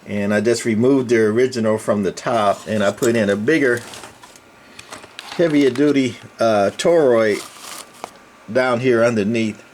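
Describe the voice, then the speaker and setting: A man with a medium-pitched voice explains things in an informal, hands-on demo style. His phrasing is folksy and halting. He is recorded indoors on a camera mic, with noticeable background noise. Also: darkish tone. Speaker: channel host. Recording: clear background noise, room ambience.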